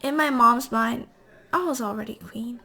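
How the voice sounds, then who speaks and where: A woman reading a novel aloud as an amateur, halting at times as she stumbles over words and corrects herself. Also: on the high side, pushed and bright, slightly rough. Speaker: amateur reader.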